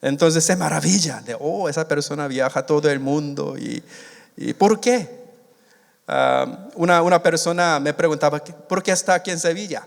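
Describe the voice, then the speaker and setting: A man born in Korea and raised in the United States, preaching a sermon in a halting way, with many restarts, and stretching out the key words. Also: a little high, vocal fry. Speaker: missionary preacher. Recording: long hall reverb.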